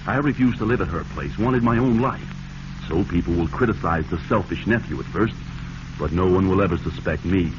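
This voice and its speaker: A male actor playing a calculating, glib nephew with a schemer's smooth talk in a 1940s radio drama. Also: deep voice, a little fast, natural voice. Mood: cold, confident. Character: cold, scheming nephew, smooth-talking and feigning concern. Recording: noisy, muffled 1940s radio recording.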